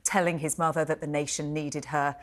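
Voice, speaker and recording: British woman royal pundit on tv, low voice, articulate and measured. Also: flat delivery. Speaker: royal editor, studio pundit. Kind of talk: live broadcast review and commentary. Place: television studio.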